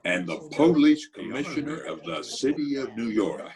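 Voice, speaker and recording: A man's voice: mid-range voice, lively intonation, normal speed, vocal fry, heavy background noise. A man playing a confident, persuasive schemer with an announcer's manner in an amateur radio play. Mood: pompous announcing. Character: confident smooth-talking male schemer playing master of ceremonies. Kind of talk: amateur old-time radio play acting.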